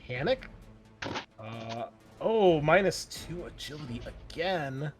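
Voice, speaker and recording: A man's voice: fairly high pitch, animated, slow, soft background music. A man working as game master, narrating a tabletop roleplaying game in an informal, wry and exclamatory way.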